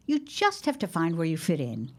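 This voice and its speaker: No-nonsense matriarch shopkeeper female actor for audio drama, slightly low, animated, brisk and bossy. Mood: stern, nagging. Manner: stern, dry, no-nonsense. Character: brisk, bossy middle-aged shopkeeper mother.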